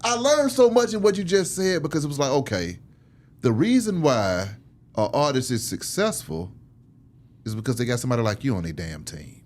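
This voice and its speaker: A man's voice: medium pitch, big pitch swings, fairly quick, a little mellow. An American man hosting a casual interview in slangy, conversational talk. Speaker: interview host.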